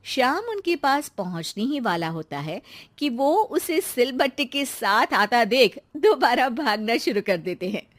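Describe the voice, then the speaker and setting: A woman's voice: on the high side, big pitch swings, unhurried, hardly any pauses, no echo. A woman narrating a scripted moral story for a cartoon in a storytelling style.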